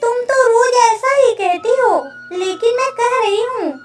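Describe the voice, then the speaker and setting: A woman's voice: very high voice, unhurried. A woman voicing a spirited, stubborn seven-year-old village girl in a Hindi children's cartoon, with a pouty, insistent delivery. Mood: pouty, demanding. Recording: roomy, slightly distant sound, faint music.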